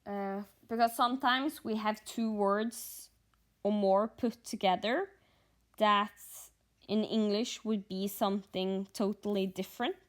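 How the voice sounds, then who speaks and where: A woman from Norway with a Norwegian accent, chatting casually in a vlog, pausing and thinking aloud, a little sleepy. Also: mid-range voice, steady tone. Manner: halting, thinking aloud with fillers. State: just woken up, short on sleep. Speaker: stitching vlogger.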